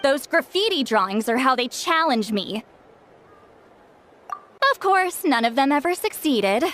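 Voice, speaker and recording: A woman's voice: sits high, big pitch swings, measured pace, fairly bright tone, slight background noise, exaggerated voice. A woman playing a proud, prickly shaman in a video game, boastful and easily made defensive. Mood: smug. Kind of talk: scripted video game dialogue.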